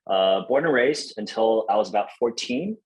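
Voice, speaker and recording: Young guy from taiwan, kinda low voice, easygoing chatty interview guest, lots of ums. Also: moderate pace, low-quality recording. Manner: relaxed, friendly, many fillers and restarts. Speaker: podcast guest. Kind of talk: casual interview chat.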